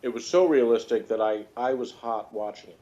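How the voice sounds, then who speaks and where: A man in a casual, unscripted review chat, talking in a halting, jokey way as a co-host. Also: mid-range voice, mellow. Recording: muffled lo-fi audio, noticeable noise.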